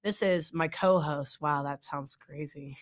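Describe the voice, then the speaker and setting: A woman's voice: deep voice, measured pace. A woman in her twenties, a youth pastor co-hosting a podcast in easy slangy chat with many fillers. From Northeast Ohio. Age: 20s. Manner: laid-back slangy talk, many fillers. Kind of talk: casual chat.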